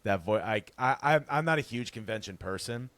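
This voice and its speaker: A man with a very deep bass voice, chatting casually as a podcast guest, rambling and relaxed with frequent laughs. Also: normal speed, dry recording. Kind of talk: casual interview chat.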